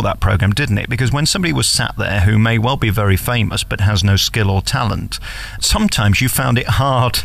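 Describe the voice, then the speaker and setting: Male celebrity interviewer: fairly low pitch, noticeable fry, conversational and leading. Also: fairly bright tone.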